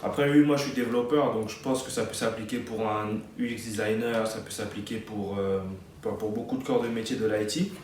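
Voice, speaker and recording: A man's voice: fairly low pitch, fairly even intonation, fairly quick, a little mellow, recorded from a distance, a little hiss or hum. Chatty young man in an echoey room. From France. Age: 20s. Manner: chatty, many fillers and restarts. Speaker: vlogger. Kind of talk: casual advice vlog.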